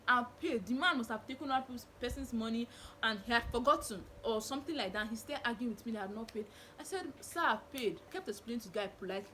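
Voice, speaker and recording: Young Nigerian woman, bright and expressive, vlogging from her bedroom. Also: fairly high pitch, slight background noise. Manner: animated, expressive, indignant storytelling with hand gestures. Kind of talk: storytelling to camera. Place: small bedroom with curtain backdrop.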